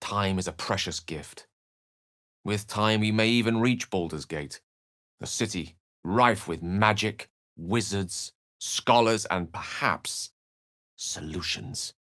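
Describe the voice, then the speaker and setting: An actor playing a bookish, witty and earnest human wizard in a video game, with erudite, theatrical phrasing and a touch of melancholy. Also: male voice, on the low side, animated, slow pace, fairly bright tone, no echo, clean studio sound. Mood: thoughtful, earnest. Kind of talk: video game character voice lines.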